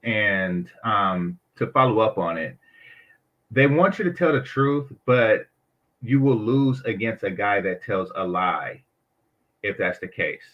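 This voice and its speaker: Deep voice guy on a panel, blunt and sure, kinda muffled audio. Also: lively intonation, takes it slowly. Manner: matter-of-fact, sure of himself. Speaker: guest panelist. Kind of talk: casual panel talk.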